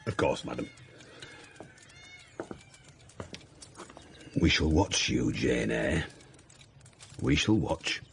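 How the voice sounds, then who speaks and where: Stern Victorian schoolmaster, extremely low voice, cold measured questioning, radio period drama. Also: male voice, lively intonation, vocal fry, a little hiss or hum, heavily acted. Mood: menacing. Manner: cold, deliberate interrogation. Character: stern, severe, pious school master in Victorian England.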